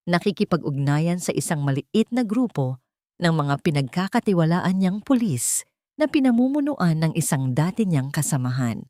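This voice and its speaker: A woman's voice: medium pitch, a little mellow, dry recording, studio quality. A woman reading a dramatic scripted story as its narrator.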